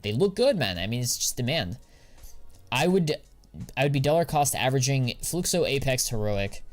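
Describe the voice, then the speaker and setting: A young American man chatting casually on a live stream, answering viewers in a relaxed, slangy way. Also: medium pitch, moderate pace, soft background music. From United States. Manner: slangy and laid-back, drawls filler words.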